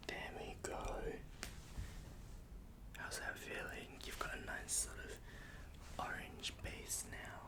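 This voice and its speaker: A man doing an ASMR roleplay in a breathy whisper, speaking gently and reassuringly like a big brother, close to the microphone. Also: slow pace, pauses often. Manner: gentle, reassuring big-brother patter, repeating words softly.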